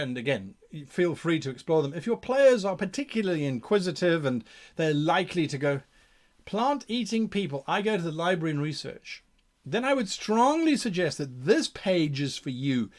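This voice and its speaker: A man hosting a game master advice show, explaining ideas to viewers with musing rhetorical questions and theatrical emphasis. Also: on the high side.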